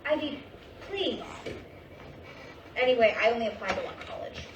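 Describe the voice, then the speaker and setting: A concerned, doting middle-aged mom, acted by a woman in a play reading. Her voice is a little high and insistent, between nagging and reassurance, in a very echoey room with clear background noise. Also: animated, normal speed, muffled recording, lightly acted. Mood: teasing, nagging. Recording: echoey room, distant mic, clear hiss.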